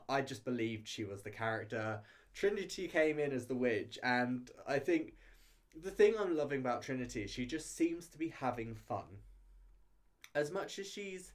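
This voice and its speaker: A man giving a casual, chatty video review, talking in a hesitant, rambling way with frequent hedging and self-interruption. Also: mid-range voice. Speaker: reality show reviewer.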